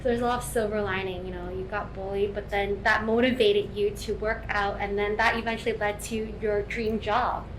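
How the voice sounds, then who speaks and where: A woman's voice: medium pitch, level tone, moderate pace, hardly any pauses, noisy background. A woman co-hosting an interview, chatting casually with the guest.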